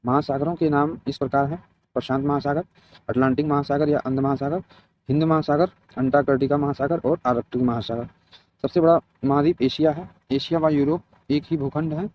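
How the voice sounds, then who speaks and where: Higher-voiced man teaching, dull recording. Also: fairly even intonation, normal speed, a little hiss or hum. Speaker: geography teacher for competitive exam students. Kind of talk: scripted lesson read aloud with short explanations. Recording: dull, lo-fi, muffled sound.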